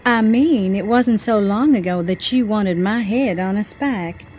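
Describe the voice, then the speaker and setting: A woman's voice: medium pitch, unhurried, a little mellow, slight background noise, close to an everyday voice. A woman acting a poised, teasing, romantic mature character in a soap-opera audio drama. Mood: teasing, curious.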